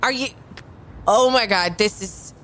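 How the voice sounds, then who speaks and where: A woman's voice: mid-range voice, a little slow, fairly bright tone, lightly acted. A woman in an improvised audio drama playing a disgusted, scornful psychic tarot reader in a heated argument. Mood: disgusted disbelief. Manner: exasperated, scornful.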